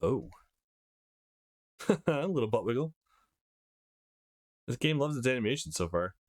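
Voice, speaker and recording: A man's voice: medium pitch, lively intonation, unhurried, no room sound, clean studio sound. A man reading a visual novel aloud and adding his own comments as a let's play host.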